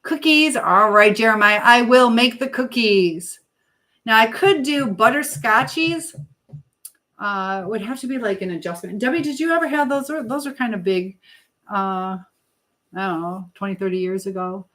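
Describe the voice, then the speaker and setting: A woman's voice: a little high, normal speed, sharp tone. A middle-aged American woman chatting casually as a livestream host, reading and answering comments with an encouraging manner, hopping from one thought to the next. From Michigan, United States. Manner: chatty, jumps between topics mid-thought.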